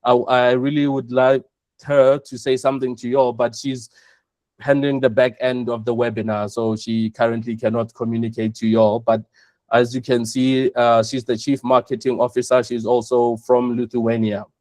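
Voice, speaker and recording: A South African man presenting an online webinar, speaking in a measured way and repeating his points for emphasis. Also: medium pitch, level tone, darkish tone, dry recording. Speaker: webinar host, operations chief.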